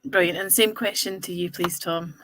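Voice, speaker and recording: A woman moderating a live Q&A panel, handing questions to each guest briskly and encouragingly. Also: lowish voice, fairly even intonation, a bit bright, rough recording.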